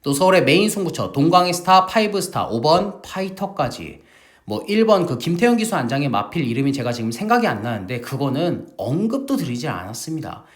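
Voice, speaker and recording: Lively, emphatic man tipping horse races. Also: on the high side, fairly quick. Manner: lively, emphatic salesman-like delivery, addresses viewers as family. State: recovering from a sore throat. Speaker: horse racing tipster host. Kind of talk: energetic betting-tips broadcast.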